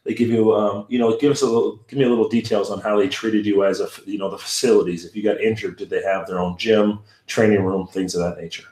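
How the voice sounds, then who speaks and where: A male podcast host who is also a high school coach, asking long rambling questions with many restarts. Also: fairly even intonation, fast talker, few pauses, darkish tone, roomy sound, rough recording. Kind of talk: podcast interview.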